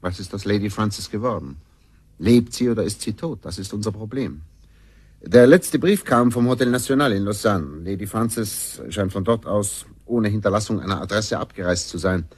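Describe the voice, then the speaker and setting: A grave, commanding detective with a husky, low voice. He speaks deliberately and incisively, recorded close and dry as in a radio play. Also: no echo. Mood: grave, urgent. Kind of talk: German radio drama acting.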